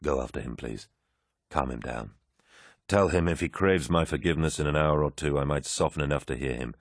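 A man voicing a furious, commanding warlord who roars and then growls low. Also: a little slow, a bit bright, no echo, studio quality, exaggerated voice. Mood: weary, gruff.

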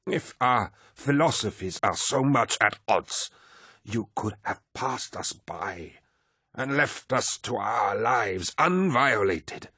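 A man voicing a frail, defiant elderly ruler with a thick antique accent and a raspy voice in an audiobook. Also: lowish voice, animated, slow, sharp tone, no room sound, clean studio sound, pitched above the performer's own voice, full character voice. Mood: bitter. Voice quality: raspy and gravelly. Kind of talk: audiobook character voice.

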